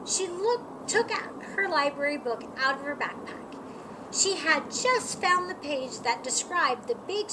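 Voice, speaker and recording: A woman reading a children's picture book aloud in an animated storytime manner, acting out dialogue and sound words. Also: sits high. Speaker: storytime reader. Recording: clearly noisy background.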